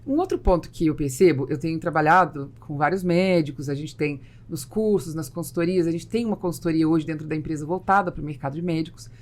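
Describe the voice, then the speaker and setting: Fast-talking Brazilian woman podcast host. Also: low voice. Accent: Brazilian Portuguese. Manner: animated, tells anecdotes, asks long questions. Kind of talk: business podcast interview.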